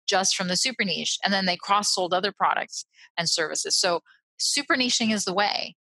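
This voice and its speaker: Woman, 40s, interview guest. Blunt, animated, bright and punchy, dry close headset sound. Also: medium pitch, normal speed, gravelly, no echo, low-quality recording. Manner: blunt, animated, self-interrupting, laughs often. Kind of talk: casual interview over video call. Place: small room, indoors. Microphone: headset mic.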